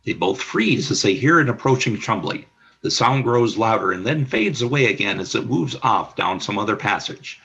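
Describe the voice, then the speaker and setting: A man narrating the stage action of an amateur radio play in an even reading. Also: fairly low pitch, normal speed, gravelly, husky, dull audio.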